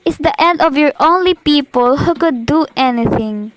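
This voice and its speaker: A woman's voice: sits high, moderate pace, natural voice. A young woman playing an imperious, nervous married lady in an amateur radio play, demanding and impatient. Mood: agitated, pleading. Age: teenager to young adult. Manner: demanding, agitated, impatient, haughty. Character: imperious, nervous, indignant married woman reporting an overheard murder plot.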